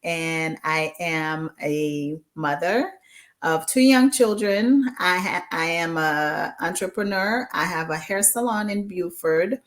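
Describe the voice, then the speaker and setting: A woman's voice: fairly low pitch, slow pace. A woman in her forties from Haiti, a guest chatting casually on an online show and moving between English and Haitian Creole with many ums. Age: 40s. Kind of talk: casual interview chat.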